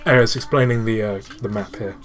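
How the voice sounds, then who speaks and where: A man's voice: lowish voice, fairly even intonation, quick pace. A man giving casual gaming commentary as a host, explaining things like an enthusiastic fan, with exasperated swearing. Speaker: gaming channel host and player.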